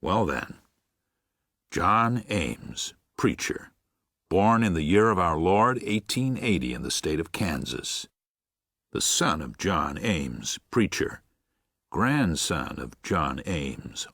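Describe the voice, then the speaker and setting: A man playing a gentle, reflective preacher of seventy-six in a first-person audiobook, with a measured, husky, aged voice. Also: sits low, sing-song, no echo, studio quality, exaggerated voice. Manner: measured, tender, musing. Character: gentle, reflective 76-year-old Midwestern preacher writing to his son. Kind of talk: first-person audiobook narration with acted dialogue.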